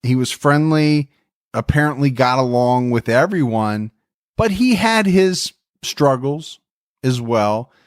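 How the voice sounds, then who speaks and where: A man hosting a true crime podcast, telling the story in a relaxed, friendly way and chatting with his co-host. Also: mid-range voice, slow pace, clean tone, no echo, studio quality.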